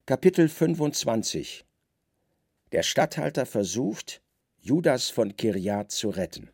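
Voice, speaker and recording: A man reads literary prose solemnly as a radio play narrator. His mid-pitched voice is very creaky, recorded dry and close. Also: very animated, a little slow, a little mellow, no echo.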